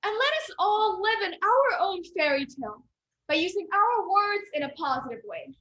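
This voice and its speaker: A woman's voice: extremely high pitch, expressive, normal speed, pushed and bright, muffled recording. A teenage girl from the United States delivering an earnest, emphatic persuasive speech in a contest. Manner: earnest, emphatic, builds to a dramatic close.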